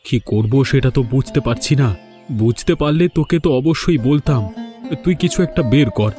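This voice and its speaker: A man's voice: mid-range voice, moderate pace, mellow, faint music behind, natural voice. A man playing a put-upon but determined village fisherman in a cartoon folk tale. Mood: troubled, fed up. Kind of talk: animated folk-tale dialogue.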